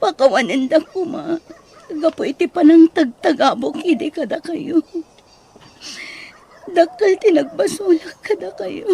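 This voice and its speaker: A woman's voice: extremely high pitch, measured pace, gravelly, long pauses, mellow, slight background noise, full character voice. A woman with an Ilocano accent acting a remorseful jailed daughter-in-law who pleads for forgiveness in a radio drama. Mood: remorseful, pleading.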